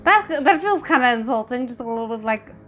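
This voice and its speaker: A woman's voice: a little high, lively intonation, fairly quick, fairly bright tone, soft background music. A woman hosting a reaction video, thinking aloud in casual commentary and often addressing the characters directly.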